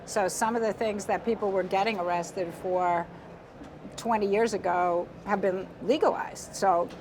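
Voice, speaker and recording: A woman's voice: mid-range voice, fairly even intonation, a little slow. A woman speaking conversationally as a guest in a panel discussion. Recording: clear background ambience noise.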